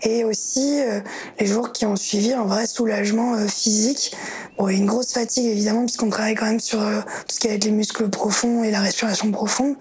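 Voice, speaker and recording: A woman's voice: mid-range voice, fairly even intonation, a little fast, a little mellow, slight background noise. A young woman telling her story in an interview, hesitant and reflective with many fillers. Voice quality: very breathy, husky voice. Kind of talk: personal testimony interview.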